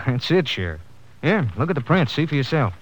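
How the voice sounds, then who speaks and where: Brisk, earnest lab man, muffled sound. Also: a little high, sing-song. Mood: urgent, certain. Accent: American. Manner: brisk, emphatic, informal reporting. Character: brisk, earnest male police lab technician. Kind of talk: 1950s western radio drama acting. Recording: muffled vintage 1950s radio broadcast recording.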